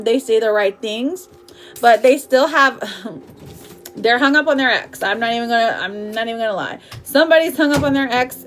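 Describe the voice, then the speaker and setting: High-voiced woman chatting on a livestream. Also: fairly bright tone. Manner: chatty, informal, affectionate pet names for viewers. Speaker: tarot reader hosting a livestream. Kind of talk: casual live chat while reading cards.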